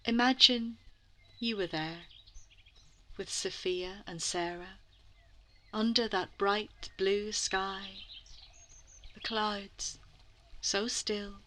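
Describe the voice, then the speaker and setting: A woman storyteller narrating a children's bedtime story in an expressive way, with lingering dramatic phrasing and soft passages. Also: medium pitch, very slow, long pauses, a little hiss or hum.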